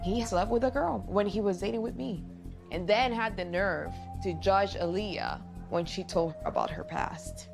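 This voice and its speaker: Confrontational woman over music, gravelly voice. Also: mid-range voice, big pitch swings, normal speed. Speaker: reality show participant. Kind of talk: reality show clip. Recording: clip from a show with music behind.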